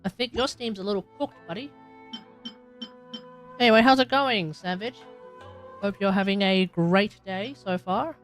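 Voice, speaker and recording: A young adult Australian game streamer, rambling casually while playing, musing aloud and chatting to viewers with sudden loud outbursts. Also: medium pitch, measured pace, long pauses, faint music behind.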